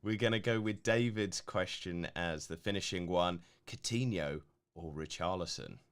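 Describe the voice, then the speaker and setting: Male co-host, low pitch, a bit gruff, laid-back question reader. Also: moderate pace. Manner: relaxed, filler-heavy, laughs easily. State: slightly gruff voice. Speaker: co-host reading viewer questions. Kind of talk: casual live stream chat.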